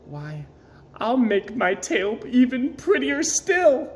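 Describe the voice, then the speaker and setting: A jealous little girl bird from a storybook, played by a man, pitched high and swooping wildly. She is vain and excitable, whining and boasting with dramatic exclamations. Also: slow pace, exaggerated voice. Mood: gloating. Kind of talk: storybook character voice.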